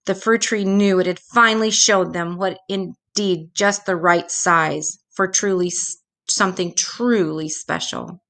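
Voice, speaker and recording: A woman reading a children's storybook aloud expressively, with dramatic pauses. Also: lowish voice, steady tone, a bit bright.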